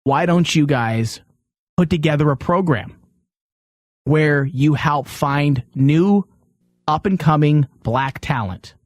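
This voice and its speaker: A man with a slightly high voice hosts an opinionated panel discussion. He argues emphatically, driving his points home. The sound is dry and close, with little room around it. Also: slow pace, dry recording. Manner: emphatic, argumentative. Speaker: podcast host.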